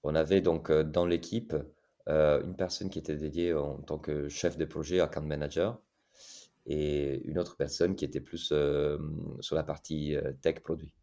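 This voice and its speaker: A man from Italy speaks French with an Italian accent, his voice very deep and dark in tone. He talks as a podcast guest, pausing often on fillers and restarting his sentences. He sounds like a man in his thirties. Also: level tone. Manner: hesitant, many fillers and restarts. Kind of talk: casual interview talk.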